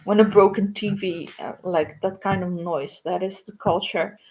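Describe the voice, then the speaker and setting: Slow, halting woman. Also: medium pitch, steady tone, a little mellow, a little hiss or hum, rough recording. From Ukraine. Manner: halting and reflective, many pauses and fillers. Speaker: artist. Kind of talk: livestream monologue.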